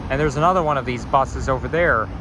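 A man's voice: medium pitch, quick pace. A man filming a casual walking vlog, chatting in a rambling, self-correcting way as he walks outdoors with a handheld camera. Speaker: vlogger. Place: outdoors on city sidewalks near traffic. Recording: outdoor street noise behind.

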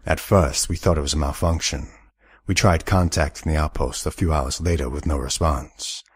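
A man playing a hardened sci-fi veteran from an ice planet, telling his story gruffly. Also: extremely low pitch, fairly even intonation, moderate pace, no room sound. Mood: grim.

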